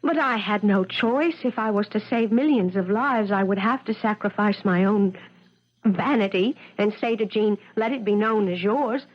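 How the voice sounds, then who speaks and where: An actress playing an agitated woman professor in a 1970s radio mystery, halting, defensive and overwrought as she denies wrongdoing. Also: medium pitch, close to an everyday voice. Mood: earnest, resigned. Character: agitated woman professor of agriculture denying a murder. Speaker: actor in a radio mystery drama. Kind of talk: 1970s radio drama acting. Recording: dull lo-fi 1970s radio broadcast.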